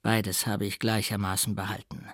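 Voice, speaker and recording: A man's voice: on the low side, level tone, normal speed, fairly bright tone, dry recording, clean studio sound. A man voicing a mysterious, scornful and zealous occult doctor in a grandiloquent, theatrical audiobook style. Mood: conspiratorial, incantatory. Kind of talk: dramatic audiobook character acting.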